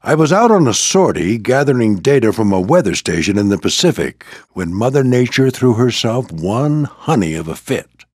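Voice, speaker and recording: An older American man voicing a proud, gruff old military plane who mentors a squadron in a video game, with a gravelly voice and a commanding, folksy manner. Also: mid-range voice, very animated, moderate pace, hardly any pauses, a little mellow, no echo, clean studio sound. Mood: reminiscing. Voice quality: gravelly, husky. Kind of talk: scripted video game character lines.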